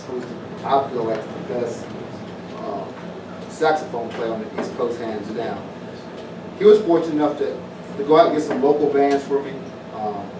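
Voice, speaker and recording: A middle-aged man from southwest Virginia, giving a plainspoken, informal speech to an audience at an evening community event. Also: mid-range voice. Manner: folksy, plainspoken, repeats phrases. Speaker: former pro football player announcing a festival. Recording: roomy, distant mic, noisy event.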